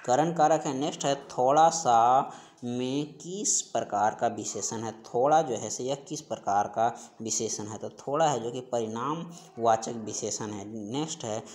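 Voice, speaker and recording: A man's voice: medium pitch, measured pace, a little mellow. A man teaching an exam-preparation class, going through questions and answers in an instructional, repetitive way. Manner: repetitive, instructional, stressing key terms by repeating them. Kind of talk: explaining quiz questions in an online class.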